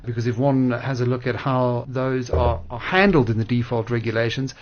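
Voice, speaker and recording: Dull-sounding male radio interviewer. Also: mid-range voice. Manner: measured, articulate, formal wording. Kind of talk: formal discussion of finance on radio.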